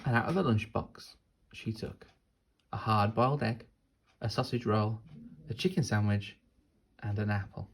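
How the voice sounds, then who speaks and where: A man narrating a children's storybook like a teacher reading aloud, deliberately and with dramatic pauses. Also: on the low side, slightly rough.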